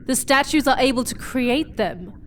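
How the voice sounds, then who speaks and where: A woman playing an earnest, shaken space explorer in a sci-fi horror audio drama, halting and emotional. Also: high voice, lively intonation, a bit bright, a little hiss or hum.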